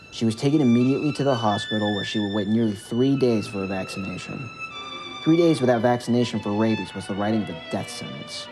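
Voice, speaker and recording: A man's voice: on the low side, low-quality recording. A man reading a scripted story as its narrator, with music behind his voice.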